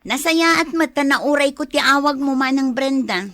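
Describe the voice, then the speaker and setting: Woman as a weary, high-voiced caregiving wife. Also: normal speed, fairly bright tone, no echo, clean studio sound. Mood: exasperated. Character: weary, exasperated wife caring for her ailing mother. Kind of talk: radio drama acting.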